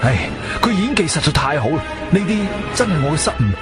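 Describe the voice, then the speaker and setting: A shrewd adventurer telling his own story in a Cantonese radio drama. His voice sits a little high for a man and swings widely with emphatic, expressive delivery. Prominent music plays behind him. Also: a little fast. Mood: gripping, suspenseful. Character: male adventurer hero, shrewd, firm, questioning investigator. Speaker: narrator and lead actor. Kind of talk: dramatic first-person audio drama narration. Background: background music.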